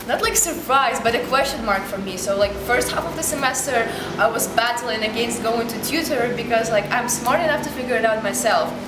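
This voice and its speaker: A woman's voice: fairly high pitch, echoey room, rough recording. A young woman from Ukraine with a Ukrainian accent, a university student talking earnestly in an interview testimonial. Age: young adult. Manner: earnest, reflective, with frequent "like" fillers.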